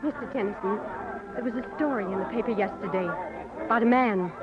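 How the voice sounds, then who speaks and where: A woman's voice: medium pitch, a little fast, music in the background, crowd noise, natural voice. An actress playing an anxious, guarded young French woman with a French accent and a hesitant, polite manner, in a 1940s radio drama. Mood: guarded, earnest. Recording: muffled old radio broadcast recording.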